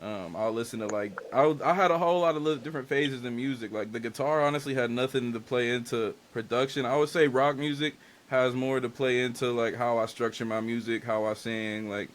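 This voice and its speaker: A man's voice: mid-range voice, fairly even intonation, brisk, hardly any pauses, a little hiss or hum. Laid-back young man, casual and candid. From Dominican and Haitian family. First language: Spanish. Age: young adult. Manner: loose and candid, heavy on "like" and slang. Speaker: guest artist and engineer. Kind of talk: casual interview chat.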